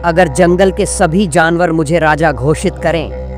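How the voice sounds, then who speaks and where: A man voicing a cunning, smooth-talking wolf in a cartoon moral story, persuasive and falsely humble. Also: fairly high pitch, unhurried, music in the background, lightly acted.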